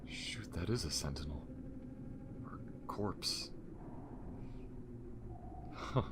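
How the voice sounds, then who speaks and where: A man's voice: very noisy, full character voice. A man voicing an injured, wary alien spacefarer in an ASMR audio roleplay, speaking in a hesitant, casual mutter with a wry edge. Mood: nervous, startled. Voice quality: very deep, gravelly. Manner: hesitant, casual, muttering with sighs and half-whispers. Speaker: voice actor. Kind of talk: ASMR audio roleplay, one side of a conversation.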